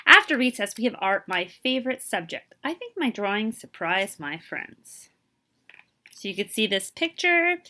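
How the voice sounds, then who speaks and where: A woman reading a picture book aloud to children as a librarian, expressive and explanatory, putting questions to the listener. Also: mid-range voice, moderate pace.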